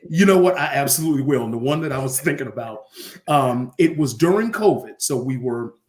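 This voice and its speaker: A man's voice: medium pitch, animated, a little fast, a little mellow. A man, an arena announcer, telling casual stories as an interview guest and acting out bits of dialogue. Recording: roomy echo on the voice.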